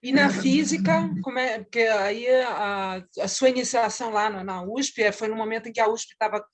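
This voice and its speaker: A woman interviewing an older guest, asking polite, attentive questions with frequent soft agreement sounds. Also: on the high side, normal speed.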